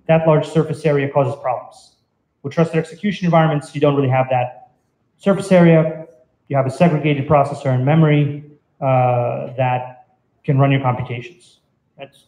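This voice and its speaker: A man's voice: fairly high pitch, mellow. A man in his thirties giving an informal technical talk at an indoor venue, explaining in a hesitant, conversational way. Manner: hesitant, halting, conversational delivery. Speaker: conference speaker. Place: indoor meetup venue, on stage by projection screen. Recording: roomy, echoey event venue.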